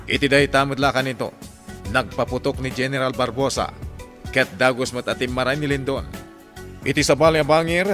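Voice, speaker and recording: A man's voice: mid-range voice, fairly bright tone. A man with a Filipino accent giving a dramatic scripted recap as the narrator of a radio drama. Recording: music under the voice. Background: dramatic music.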